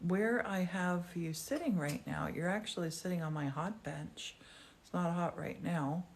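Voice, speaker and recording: A woman's voice: lowish voice, unhurried, slight background noise. A woman hosting a live demonstration in a glass studio, chatting casually and with enthusiasm. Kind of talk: casual live-stream chat and commentary.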